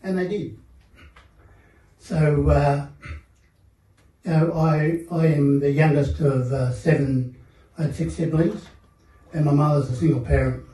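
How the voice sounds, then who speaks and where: An older Australian man speaking as a panel guest at a seminar, telling his life story in a measured, reflective way with many pauses and fillers. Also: fairly high pitch, fairly even intonation, warm tone. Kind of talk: personal testimony at a seminar panel. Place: seminar hall. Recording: echoey room, mic at a distance.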